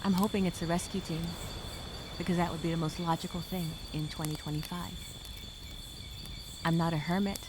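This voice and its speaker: A woman performing a stranded castaway speaking a journal aloud. She is wry and weary, with a sarcastic streak of hope. Crickets and insects chirp clearly behind her. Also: on the low side, steady tone, normal speed, pauses often, noisy background, natural voice. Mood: weary musing. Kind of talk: dramatic first-person journal monologue.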